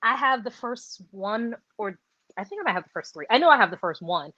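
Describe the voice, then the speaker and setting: A woman's voice: medium pitch, brisk. Rambling woman on a dull lo-fi call. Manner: rambling, animated, many fillers and restarts. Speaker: book discussion co-host. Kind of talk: casual book chat on a live stream. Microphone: video call. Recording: dull, dry lo-fi sound.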